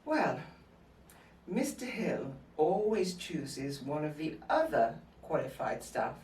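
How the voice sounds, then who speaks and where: A dignified, quietly defiant senior nurse, played by an actress in a stage drama. Her voice is fairly low and very expressive, measured and formal, picked up from a distance in an echoey room. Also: full character voice. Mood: pointed, composed. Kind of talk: scripted stage-drama acting.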